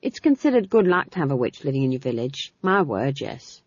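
A narrator voicing a nervous male castle chamberlain who stammers and fumbles through excuses, with a husky voice. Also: mid-range voice, big pitch swings, fairly quick, no echo, lo-fi sound. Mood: flustered. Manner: flustered, stammering.

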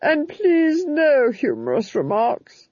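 A woman's voice: sits high, wildly swooping pitch, slow, a little mellow, dry recording, dull audio, pitched above the performer's own voice, exaggerated voice. A woman voicing a haughty, cruel Duchess with imperious, clipped commands in an audiobook. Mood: cold menace. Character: haughty, cruel Duchess, menacing and coolly impatient. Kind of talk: audiobook character voice.

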